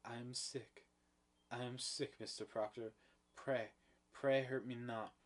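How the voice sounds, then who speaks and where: A man's voice: medium pitch, steady tone, slow, close to an everyday voice. A man reading the part of a frightened young female servant with a trembling voice. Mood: frail.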